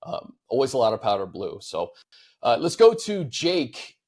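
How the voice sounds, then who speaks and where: Mid-pitched American sports show host, conversational. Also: male voice, wildly swooping pitch, moderate pace, darkish tone, slightly rough. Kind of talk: casual sports talk, reading fan questions.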